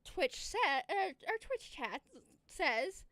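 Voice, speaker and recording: A woman's voice: very high-pitched, very animated, slow, a bit bright, dry recording, clean studio sound. A woman co-hosting a live stream, teasing and giggling through playful banter. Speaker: stream co-host.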